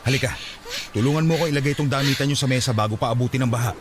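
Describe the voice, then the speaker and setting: A man's voice: medium pitch, very fast, noisy background, close to an everyday voice. A Filipino man playing a stern, harried father in a radio drama, scolding and giving urgent commands.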